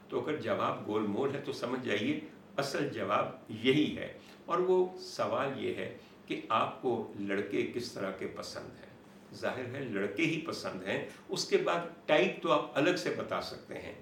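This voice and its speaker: A Pakistani-accented man presenting an explanatory monologue to viewers as host, in a deliberate, dramatic manner with repetition for emphasis. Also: mid-range voice, a bit bright. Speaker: host and doctor presenting a talk show. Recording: roomy, distant mic with faint noise.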